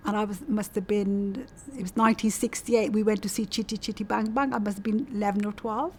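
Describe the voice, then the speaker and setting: A woman's voice: medium pitch, steady tone, a little fast, darkish tone, a little hiss or hum. A woman, chatting and reminiscing about her own life in an interview, often repeating points for emphasis. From South West England. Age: 60s. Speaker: community storytelling interviewee. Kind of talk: casual personal reminiscence in an interview.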